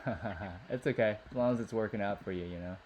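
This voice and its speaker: A man's voice: on the low side, darkish tone. Chatty man on a noisy call. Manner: chatty, laughs easily, quick to agree. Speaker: conversation partner. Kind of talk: casual chat.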